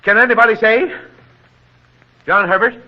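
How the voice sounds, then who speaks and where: An actor playing a steady small-town leader in a 1940s radio drama, deliberate and reasoning. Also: male voice, high voice, natural voice. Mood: earnest, resolute. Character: earnest, steady small-town leader chairing an emergency meeting. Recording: muffled old radio recording.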